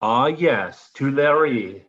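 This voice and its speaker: An actor playing a scheming, zealous French duke in an audio play, speaking in a grandiose, mocking, theatrical way. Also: male voice, medium pitch, expressive, fairly bright tone, highs cut off. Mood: mocking, sinister. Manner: grandiose, mocking, florid delivery with dramatic drawn-out phrases.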